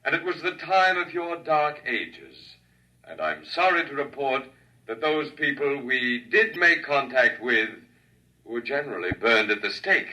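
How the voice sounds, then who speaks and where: Piercing, oratorical alien envoy. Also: male voice, mid-range voice, lively intonation, measured pace, sharp tone. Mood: grave. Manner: formal, portentous, oratorical. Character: solemn, grandiose male alien envoy from an ancient civilization. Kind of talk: 1950s radio acting, formal oration. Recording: 1950s radio recording, distant from mic.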